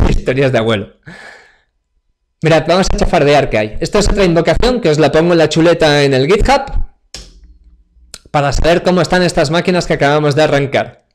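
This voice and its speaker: A man's voice: fairly high pitch, expressive, fairly quick, pauses often, a little hiss or hum. A man in his forties from Spain, giving a joking, chatty online tech talk with a live demo, speaking to the audience over a webcam from his home office. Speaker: cloud computing speaker. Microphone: webcam video call.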